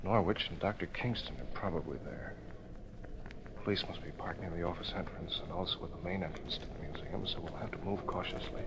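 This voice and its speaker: A man's voice: low voice, brisk, close to an everyday voice. An actor playing a decisive, commanding male vigilante hero in an old-time radio drama, giving purposeful orders. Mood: cautious, purposeful. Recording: noisy vintage radio recording.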